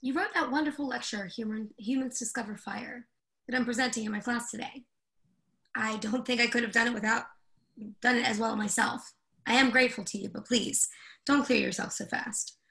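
Woman as a gravelly, forceful stressed professor. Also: fairly high pitch, steady tone, fairly quick. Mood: appreciative. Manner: emphatic, impatient. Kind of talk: play reading. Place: indoors at home, on a video call.

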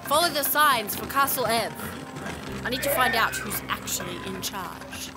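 A woman's voice: a little high, animated, moderate pace, over loud music, natural voice, low-quality recording. A woman as an earnest, determined young princess. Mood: resolute. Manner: earnest, resolute. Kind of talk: audio drama acting and narration.

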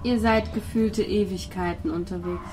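A woman's voice: medium pitch, fairly even intonation, moderate pace, noisy background. A woman narrating a tabletop role-playing game as the game master. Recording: music playing behind the voice. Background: music.

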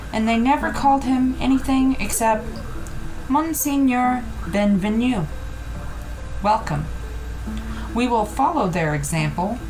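A woman narrating a literary audiobook in a measured, deliberate way with frequent pauses. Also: medium pitch, rough recording. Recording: music behind the voice.

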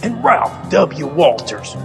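A man's voice: a little high, big pitch swings, slow pace, vocal fry. A man reading scripted closing credits as an audio drama announcer. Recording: long reverb, loud music bed.

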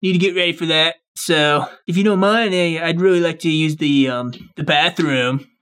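A man's voice: a little high, normal speed, a bit bright, clear, resonant tone, close to an everyday voice. A man playing a scheming evil twin who poses as a casual, hesitant cousin in a game roleplay. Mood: falsely polite. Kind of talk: improvised video game roleplay.

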